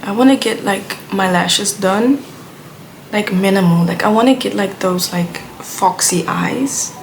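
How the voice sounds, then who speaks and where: A woman's voice: mid-range voice, unhurried, gravelly, a little hiss or hum. Rambling young woman vlogging. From Belgium. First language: Dutch. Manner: chatty, rambling, heavy use of "like". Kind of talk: casual rambling vlog rant. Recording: music playing under the voice.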